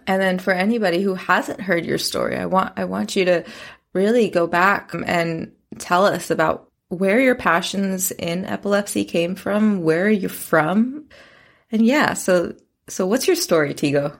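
Chatty woman podcast host, lower voice. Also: unhurried, vocal fry. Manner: candid, chatty and self-deprecating. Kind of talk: casual interview conversation.

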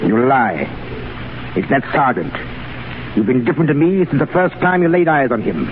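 An actor playing a jealous, vengeful army commandant in a theatrical, commanding 1930s radio drama style. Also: male voice, medium pitch, lively intonation, moderate pace, natural voice. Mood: jealous, accusing. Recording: noisy phone-like 1930s radio recording.

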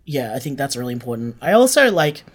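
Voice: medium pitch, sing-song, fast talker. An interview guest talking casually and earnestly, with informal, frank phrasing. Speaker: interview guest, audio drama maker.

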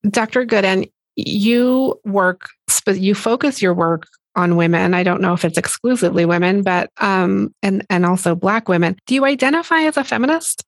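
A woman's voice: mid-range voice, normal speed, hardly any pauses, dry recording. A woman hosting a podcast interview, asking questions and affirming her guest with enthusiasm. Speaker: podcast host.

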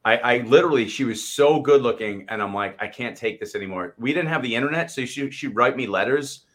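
A man's voice: medium pitch, a little fast. An American man co-hosting a talk show in casual chat, with a joking, self-deprecating way of talking.

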